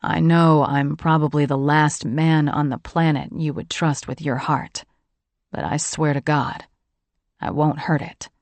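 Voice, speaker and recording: Woman doing a gruff blunt man. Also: fairly even intonation, unhurried, no room sound. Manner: low and blunt, swearing.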